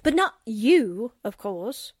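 A woman's voice: on the high side, very animated, slow pace, no echo, clean studio sound, full character voice. A woman playing a wisecracking, sardonic newspaperwoman, full of slangy quips, in 1940s radio drama style. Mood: teasing, sardonic. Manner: sassy, slangy exclamations and quips.

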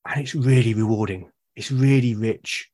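A man's voice: medium pitch, normal speed, mellow. A British man, a podcast guest, talking in a reflective, casual way and often restarting and repeating words mid-sentence. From Britain.

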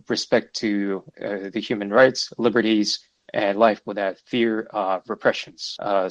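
Low-voiced man, hesitant analytical commentary. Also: dry recording, highs cut off. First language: Russian. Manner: hesitant, many fillers and restarts, measured and analytical. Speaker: podcast host. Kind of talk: solo political commentary.

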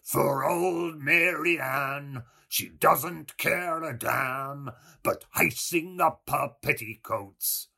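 A man voicing a coarse, bawdy storybook figure in a hoarsened, rasping growl. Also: on the high side, pushed and bright, voice pushed up, full character voice. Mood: gruff, bawdy. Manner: growled, slow.

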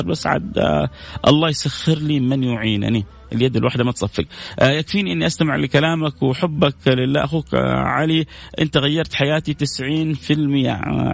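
A man with a Hijazi Saudi accent and a creaky voice, hosting a casual religious radio chat and addressing listeners affectionately with endearments and blessings. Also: medium pitch. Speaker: radio show host.

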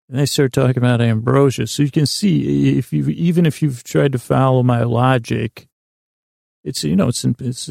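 A man hosting a sleep podcast in a rambling, halting bedtime monologue, losing his thread and restarting in a gravelly voice. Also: mid-range voice, mellow, dry recording, clean studio sound. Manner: meandering, halting, self-interrupting, absent-minded.

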